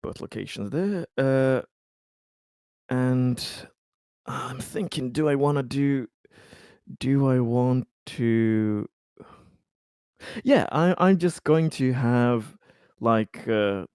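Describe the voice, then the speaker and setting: A man playing a tabletop roleplaying game, talking casually in halting, tentative phrases as he describes his character's actions. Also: mid-range voice, a little mellow, clean tone, dry recording, studio quality.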